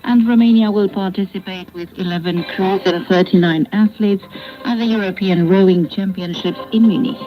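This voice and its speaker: A woman radio announcer and newsreader delivering scripted international broadcast copy in a formal, measured way. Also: mid-range voice, fairly even intonation, over music. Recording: dull shortwave radio broadcast recording.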